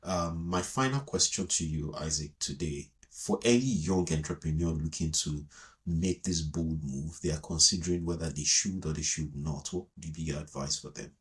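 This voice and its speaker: Very deep-voiced male podcast host. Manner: measured, polite, formal thanks. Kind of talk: podcast interview and outro.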